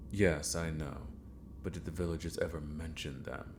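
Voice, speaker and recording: A very deep-voiced villain mentor played by a man speaks slowly in a fantasy ASMR roleplay. He is courteous, scheming and a little unhinged, with a gravelly creak to his formal, theatrical phrasing. Noticeable noise sits behind the voice. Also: steady tone. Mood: probing, curious. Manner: slow, formal, theatrical, sometimes muttering to himself.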